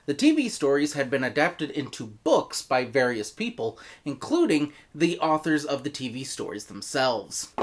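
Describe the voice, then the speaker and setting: An American man presents a scripted review as its host. His pitch moves around a great deal, giving his narration an expressive, animated delivery. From United States.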